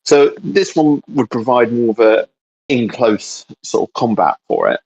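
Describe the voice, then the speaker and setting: A man's voice: medium pitch, very animated, a little slow, gravelly, a little mellow. A man co-hosting a casual chat, heard through slightly dull, lo-fi audio. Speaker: co-host.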